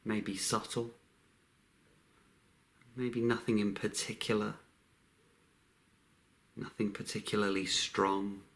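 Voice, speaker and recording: A man leading a guided meditation in a calm, unhurried way, with long pauses between short phrases. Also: fairly low pitch.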